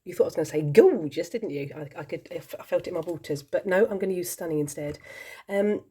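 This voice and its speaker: A woman talking to camera in a rambling, chatty way with lots of ums, her voice croaky from a cold. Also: fairly low pitch, fast, dark tone. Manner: chatty, rambling, self-deprecating, many ums. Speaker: tarot vlogger. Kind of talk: casual chat to camera.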